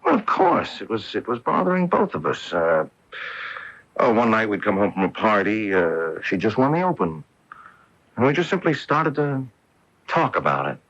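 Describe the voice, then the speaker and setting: A low, husky bitter husband and washed-up golfer, his pitch swinging widely. He hedges and runs himself down in a rueful, defensive way, in radio drama style on a muffled old AM broadcast. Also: wildly swooping pitch, moderate pace, fairly bright tone, heavily acted. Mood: rueful, candid. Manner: hesitant, rueful, self-deprecating. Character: bitter, defensive former pro golfer husband with a guilty secret.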